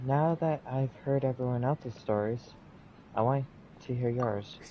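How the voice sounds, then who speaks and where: A man's voice: mid-range voice, unhurried, warm tone. A man streaming a game, talking casually and reading dialogue aloud. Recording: dull, lo-fi sound, faint background noise.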